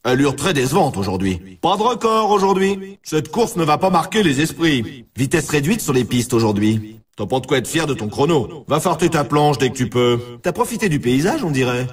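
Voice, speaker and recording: A man's voice: fairly high pitch, slightly rough, distant mic. A man playing a brash, teasing snowboard race announcer in a video game, with punchy scripted delivery. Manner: punchy exclamatory delivery in short scripted lines. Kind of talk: scripted sports announcer lines with colloquial address.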